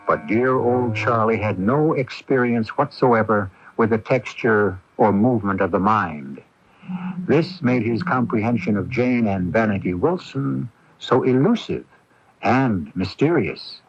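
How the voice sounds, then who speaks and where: An actor playing a reflective male narrator in a 1980s radio drama, speaking in a measured, literary way about a friend. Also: fairly low pitch, slightly rough, exaggerated voice. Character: reflective male storyteller fondly musing on a friend. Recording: dull radio broadcast with faint noise.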